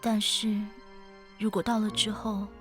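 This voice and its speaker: A woman playing an ageless, gentle and wistful ferrywoman of souls in an audio drama, speaking in a reflective, poetic way with a quiet teasing edge. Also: mid-range voice, level tone, a little slow, lightly acted. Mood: wistful narration. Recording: studio voice over background music.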